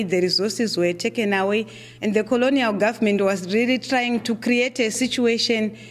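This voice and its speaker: A middle-aged woman with a Zimbabwean accent gives a keynote address at a conference. She talks in a measured, deliberate way, often pausing on "uh" and repeating words as she restarts. Her voice sits at an ordinary pitch for a woman. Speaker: keynote speaker.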